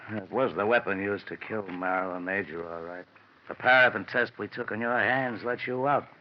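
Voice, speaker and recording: Low-voiced sardonic police lieutenant played by a man. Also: normal speed, close to an everyday voice. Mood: businesslike. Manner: sardonic, slangy, deadpan. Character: gruff, sardonic male police lieutenant in detective radio. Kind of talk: classic radio detective drama acting. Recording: muffled, noisy old radio recording.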